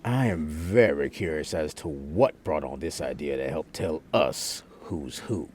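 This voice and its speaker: A man's voice: very deep voice, expressive, a little slow, darkish tone, a little hiss or hum. An actor playing a blunt, hard-nosed male undercover operative in an audio drama, with a dry, formal manner.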